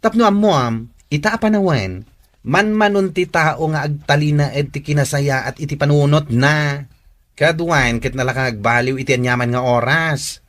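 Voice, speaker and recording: A man's voice: fairly high pitch, normal speed, fairly bright tone, close to an everyday voice. A man playing a blunt, caring, gossipy friend who gives advice in a radio drama. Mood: blunt, concerned.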